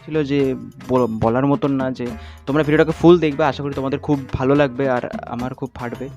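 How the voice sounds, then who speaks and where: A man's voice: medium pitch, steady tone, fast, lo-fi sound, loud music behind the voice. A young man in casual, teasing video-host talk, mixing in English phrases. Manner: informal, mixes in English phrases. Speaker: prank call video host. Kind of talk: casual chat to viewers and teasing phone prank.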